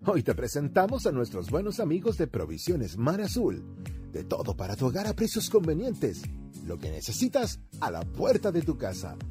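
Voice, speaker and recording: Very animated male ad announcer. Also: on the high side, normal speed. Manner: polished, promotional, direct address. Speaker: radio advertisement announcer. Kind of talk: scripted promotional read. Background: music.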